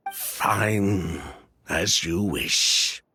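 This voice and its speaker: An actor playing a smooth-talking, condescending merchant with mannered courtesy in a video game. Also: male voice, low voice, pushed and bright, a little hiss or hum, full character voice. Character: smooth-talking, slippery male merchant with airs.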